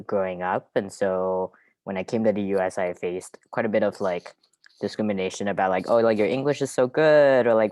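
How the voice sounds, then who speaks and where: Very low-voiced earnest speaker, dry sound. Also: male voice, a little mellow, smooth, dry recording. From Singapore. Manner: earnest, reflective, with frequent ums. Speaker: helpline co-founder. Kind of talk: introduction on a group video call. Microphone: video call.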